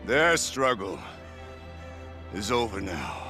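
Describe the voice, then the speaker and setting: A proud hunter chieftain in a fantasy video game. His gravelly voice is solemn and commanding, with wide swings in pitch over background music. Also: very animated, takes it slowly, bright, forceful tone, heavily acted. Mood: rousing, fierce. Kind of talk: dramatic video game acting.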